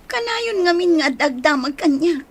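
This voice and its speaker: A woman's voice: sits high, lively intonation, normal speed. A woman with an Ilocano accent playing a nervous, evasive young woman under suspicion in a radio drama, hesitant and defensive.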